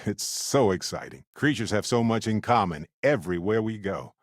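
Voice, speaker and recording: A man voicing a warm, wry, chatty middle-aged space explorer companion in a video game, conversational and playful. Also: on the low side, animated, normal speed, no echo, clean studio sound. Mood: friendly, wry. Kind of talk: video game voice acting, short character lines.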